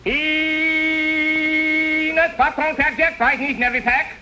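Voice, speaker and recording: A man's voice: extremely high pitch, little pitch movement, takes it slowly, bright, forceful tone, full character voice. A man doing a hollering ballpark snack vendor. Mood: hawking. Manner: sing-song hollering sales patter. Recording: dull 1950s TV audio with hiss.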